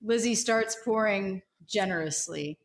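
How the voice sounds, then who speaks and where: A woman's voice: mid-range voice, a little slow. A woman improvising narration of her character's actions in a tabletop roleplaying game.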